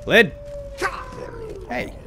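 A man's voice: very slow, heavy background noise. A man hosting a let's play with lightly role-played commentary, murmuring asides and breaking into exclamations. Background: game music.

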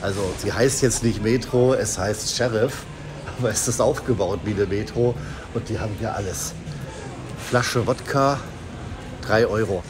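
A man's voice: lowish voice, moderate pace, pauses often. A man in his forties, vlogging from a car and a supermarket, chatty and wry, talking to a camera held at arm's length, with music under his voice. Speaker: travel vlogger. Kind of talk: casual travel vlog. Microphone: handheld camera at arm's length.

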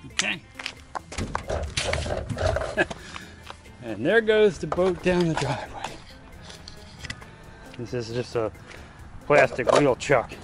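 A man giving a casual step-by-step how-to while working on a boat trailer on his driveway, often turning away from a low camera. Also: takes it slowly, pauses often, over music. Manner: plain, practical, step-by-step explaining while working. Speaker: DIY vlogger. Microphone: camera mounted low on the ground, voice often off-mic.

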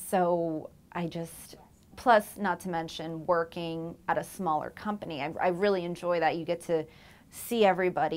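A woman's voice: deep voice, creaky, a little mellow. Earnest young woman in an interview. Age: 20s. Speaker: company employee. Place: office.

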